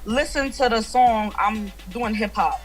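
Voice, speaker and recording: A woman's voice: fairly high pitch, measured pace, faint music behind. A young woman in her twenties, a guest musician talking casually and easygoingly over a video call from a room at home. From United States. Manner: easygoing, laughs often. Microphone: video call webcam.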